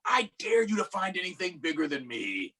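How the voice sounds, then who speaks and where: A man's voice: high-pitched, very animated, moderate pace, bright, forceful tone, husky, pitched above the performer's own voice, full character voice. A man voicing a boastful giant squid, emphatic and proud of its size, reading a children's picture book aloud. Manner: bragging, emphatic.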